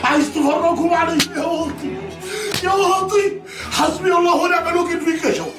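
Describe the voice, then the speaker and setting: A man with an Egyptian accent playing an enraged father who shouts at his son in a TV drama. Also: very high voice, fairly even intonation, fast. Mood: furious. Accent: Egyptian Arabic. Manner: shouting, furious. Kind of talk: TV drama acting. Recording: roomy distant sound with music and crowd.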